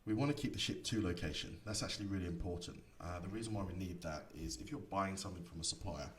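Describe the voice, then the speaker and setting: A man giving a software tutorial, explaining each setting step by step in an instructor's manner. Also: low-pitched, quick pace. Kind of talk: screen-recorded tutorial explanation. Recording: echoey room, distant mic, faint noise.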